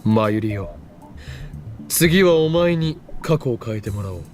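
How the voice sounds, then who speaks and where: An actor playing a theatrical, commanding young self-styled mad scientist in a Japanese visual novel, pompous and declarative. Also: male voice, mid-range voice, expressive, a little fast, darkish tone, soft background music, lightly acted. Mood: commanding, scheming.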